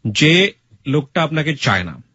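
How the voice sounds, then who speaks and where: A man's voice: a little high, big pitch swings, a little slow, bright, forceful tone, dry recording, lo-fi sound. A man with a Bangladeshi accent hosting a radio interview, giving blunt, emphatic advice and mixing in English phrases. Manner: mixes English words and phrases into speech. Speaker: radio host.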